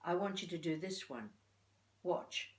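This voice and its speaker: An older woman giving an informal voice-coaching lesson to camera in an expressive, chatty, encouraging way with playful asides. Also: fairly low pitch, unhurried. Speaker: voice coach presenting a tutorial. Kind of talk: informal instructional talk to camera. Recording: echoey room, distant microphone.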